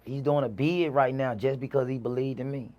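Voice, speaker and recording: A man's voice: medium pitch, steady tone, a little fast, lo-fi sound. Southern man with a Mississippi drawl, slangy. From Mississippi, USA. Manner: slangy, repeats himself, frequent "you know". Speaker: interview guest, rapper. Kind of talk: casual conversational storytelling.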